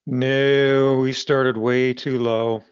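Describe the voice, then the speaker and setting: Halting man with a dull, dry sound. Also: medium pitch, level tone, clear, resonant tone, dry recording. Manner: halting, with frequent restarts. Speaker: magazine publisher. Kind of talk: casual interview conversation.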